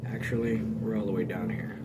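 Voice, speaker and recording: A man talking casually as the host of a read-along, pausing to comment as he goes. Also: on the low side, heavy background noise. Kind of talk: casual chat and amateur read-aloud.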